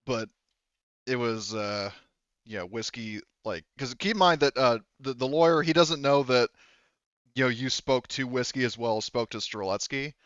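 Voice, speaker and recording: A man running a tabletop roleplaying game as game master, explaining casually and haltingly, often repeating and rephrasing himself. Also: mid-range voice, a bit bright, smooth, no echo, highs cut off.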